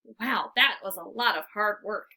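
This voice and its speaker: A woman reading a children's storybook aloud in an expressive, theatrical way. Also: medium pitch, measured pace, creaky. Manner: animated, theatrical. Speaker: storybook reader. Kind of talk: expressive read-aloud narration.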